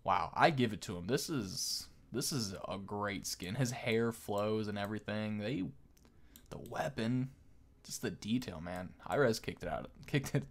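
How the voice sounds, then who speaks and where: A man's voice: lowish voice, animated, a little slow. A man hosting a gaming video with casual, off-the-cuff gameplay commentary.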